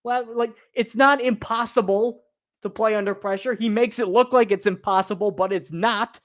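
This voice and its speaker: A man with a somewhat high voice hosts a sports podcast. He reads viewer comments aloud and answers them with blunt, exasperated opinions. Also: steady tone, normal speed, a bit bright. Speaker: podcast co-host.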